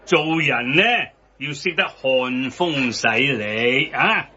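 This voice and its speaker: A man's voice: medium pitch, slow, bright, forceful tone, highs cut off. An actor playing a blustery, worldly-wise middle-aged man who scolds and preaches in colloquial Hong Kong Cantonese in a radio satire. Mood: preachy.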